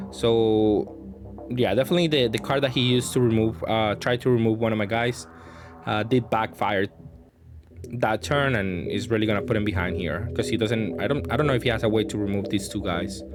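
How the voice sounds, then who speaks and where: A man giving casual gameplay commentary, thinking aloud in a hesitant way as he plays. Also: fairly low pitch. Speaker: card game player presenting match commentary. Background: music.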